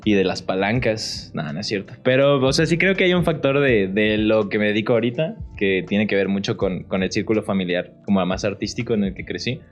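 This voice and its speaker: A man's voice: on the low side, fairly quick, hardly any pauses. A man with a Mexican accent chatting casually as an actor guest on a podcast, slangy and peppered with tag questions. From Puerto Vallarta, Mexico. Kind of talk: casual chat.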